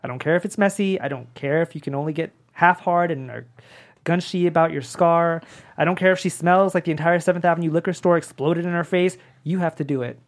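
A man's voice: on the high side, brisk, natural voice. A man playing a wry, tender dying man. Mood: insistent. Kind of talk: dramatic table read of a screenplay.

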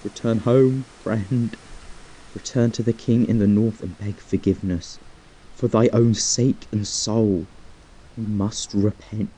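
A remorseful, frightened companion, played by a man with a mellow, soft-edged voice. He speaks in solemn, old-fashioned phrasing that can break into desperate pleading. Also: fairly low pitch, steady tone, slow, breathy edge, rough recording. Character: guilt-torn male companion, fearful and remorseful. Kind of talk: archaic dramatic dialogue.

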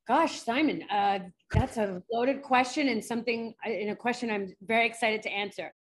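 A woman's voice: a little high, moderate pace, a little hiss or hum. A woman from New York, a forensic psychologist chatting candidly in an interview, laughing often. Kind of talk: casual interview chat.

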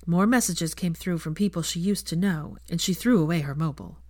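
A woman's voice: lowish voice, fairly quick, a little mellow, no echo, clean studio sound. A woman reading fiction aloud as scripted audiobook narration.

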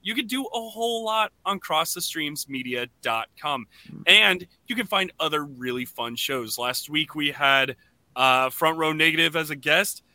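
A man hosting a podcast, chatting casually and enthusiastically in an animated way. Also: a little high, a little slow, dry recording. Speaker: podcast host.

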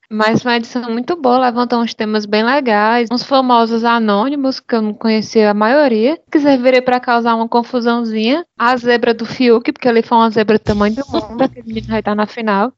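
A woman's voice: a little high, no room sound. A woman from Fortaleza, a chatty podcast guest. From Fortaleza, Ceará, Brazil. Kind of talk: casual chat. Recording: dry, dull lo-fi sound.

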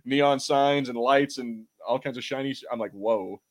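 A man's voice: medium pitch, normal speed. Enthusiastic man chatting casually as podcast host. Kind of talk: casual watch-party commentary.

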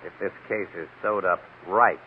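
A man's voice: low-pitched, fairly even intonation, moderate pace, a little hiss or hum, close to an everyday voice. An American man playing a dogged, skeptical, wisecracking press photographer in a 1940s radio crime drama. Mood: wry, doubtful. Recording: narrow-band old radio recording with music.

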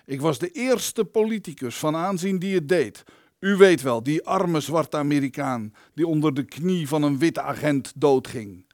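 An actor in a radio play voicing an indignant, self-important politician locked in a dungeon, with a pompous, self-justifying manner. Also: male voice, on the high side, no echo, clean studio sound. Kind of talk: Dutch radio play acting.

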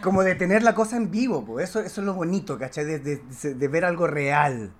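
A man with a Chilean accent chatting casually as a guest, slangy and candid, often halting as he reflects. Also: a little high. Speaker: actor, podcast guest.

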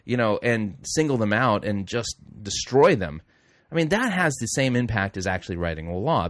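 A man's voice: lowish voice, dry recording, clean studio sound. A middle-aged man hosting a talk show, reading news aloud with rambling, self-correcting, wry asides. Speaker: radio host. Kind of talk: casual talk show commentary.

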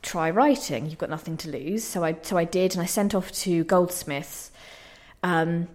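A woman's voice: lowish voice, normal speed, darkish tone. A young woman giving reflective interview answers in a hesitant, self-deprecating, thoughtful way. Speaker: playwright being interviewed.

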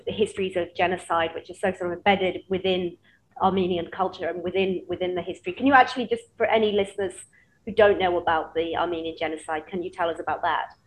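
A woman's voice: fairly low pitch, fairly even intonation, quick pace, poor audio. A woman hosting a podcast's live chat, opening with hesitant "um" starts and asking her guest questions. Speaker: podcast host.

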